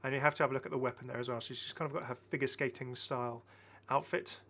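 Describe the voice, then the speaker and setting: A man's voice: mid-range voice, fairly even intonation, fast, bright, forceful tone. A middle-aged man giving enthusiastic, casual, chatty commentary as a gaming video host, often repeating his phrases. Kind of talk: casual game skin showcase commentary.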